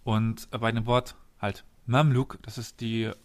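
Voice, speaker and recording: A man's voice: fairly low pitch, flat delivery, slow. A man hosting a podcast, casually explaining history step by step and quizzing his co-host and listeners.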